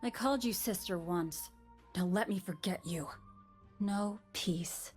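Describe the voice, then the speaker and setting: A solemn young warrior woman from a video game, played by an actress, carrying guilt and quiet defiance. She speaks with measured restraint over background music. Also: mid-range voice, natural voice. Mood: defiant.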